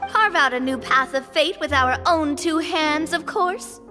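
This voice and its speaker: A woman's voice: sits high, unhurried, big-room sound, music in the background. An actress playing a bold, cheerful young female adventurer who speaks in a breezy, confident way.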